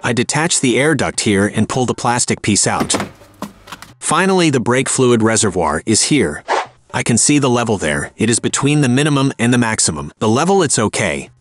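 Man calmly narrating a car repair. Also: medium pitch, normal speed. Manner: calm, step-by-step explaining. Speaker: car repair video host. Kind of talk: scripted how-to voice-over.

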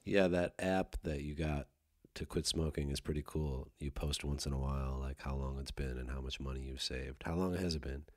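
Very deep-voiced podcast host. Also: male voice, fairly even intonation, moderate pace, a little mellow, dry recording, clean studio sound. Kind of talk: casual conversation.

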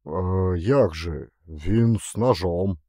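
A man voicing a boastful, excitable rustic coachman with a Ukrainian accent in an audiobook. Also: deep voice, extremely slow, dry recording, studio quality, heavily acted. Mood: defensive. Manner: feverishly proud, folksy. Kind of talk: acted audiobook character.

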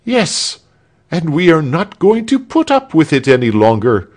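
A man voicing an excitable, hot-headed male rebel who blurts out his words in a storybook reading. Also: sits high, takes it slowly, vocal fry, voice pushed up, exaggerated voice. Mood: indignant. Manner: blurting, protesting.

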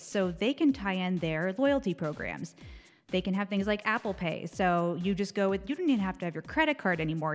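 A woman's voice: medium pitch, big-room sound. A young American woman giving casual, conversational commentary as a podcast segment presenter. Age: young adult. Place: small dark indoor studio. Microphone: close microphone on desk stand.

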